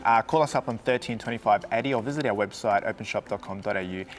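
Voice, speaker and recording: A man's voice: on the low side, fast, faint music behind. A man, co-hosting a live shopping show with enthusiastic, rambling patter that often stumbles over its words. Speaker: shopping channel co-host. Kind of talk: live shopping broadcast presenting.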